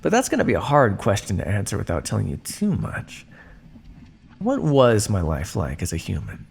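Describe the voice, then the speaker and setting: Male actor as vampire scientist captor for audio roleplay: creaky, swooping delivery, smug and theatrical, some hiss on the recording. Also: lowish voice, wildly swooping pitch, exaggerated voice. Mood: teasing, reflective. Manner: smug, measured, teasing, theatrical. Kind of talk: ASMR roleplay monologue addressed to listener.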